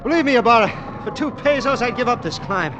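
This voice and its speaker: An actor playing a curious, skeptical climber in an old radio drama, asking questions and joking lightly. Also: male voice, very high-pitched, animated, quick pace, background noise. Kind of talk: 1940s radio acting.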